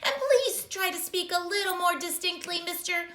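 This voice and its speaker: A woman's voice: extremely high pitch, moderate pace, pushed and bright, pitched above the performer's own voice. A woman voicing a prim, muddled city clerk on the phone, recorded in an echoey room. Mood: fussy. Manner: prim, fussy, mishearing.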